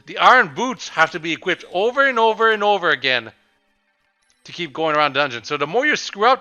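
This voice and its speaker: A young man with a slightly raspy voice, giving casual, rambling commentary over a video game with frequent pauses and restarts. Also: sits high, sharp tone. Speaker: let's play commentator.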